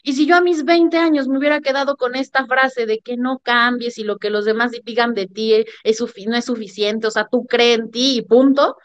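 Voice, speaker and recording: A woman, a podcast guest arguing her point with emphatic, lively storytelling. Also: fairly high pitch, normal speed, hardly any pauses. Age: 30s. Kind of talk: conversational podcast talk. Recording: muffled lo-fi sound.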